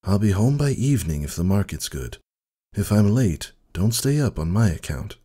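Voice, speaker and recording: A man's voice: lowish voice, big pitch swings, moderate pace, dark tone, voice pushed up. Man playing an earnest, dignified old stallion. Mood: tender. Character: old male pony, weary, humble, principled, devoted husband.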